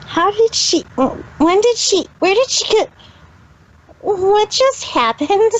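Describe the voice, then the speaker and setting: A woman's voice: very high voice, sing-song, slow pace, heavily acted, low-quality recording. A woman voicing a proud, easily flustered cartoon pony magician who talks about herself in the third person, in a fan fiction reading. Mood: bewildered. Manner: self-important third-person speech.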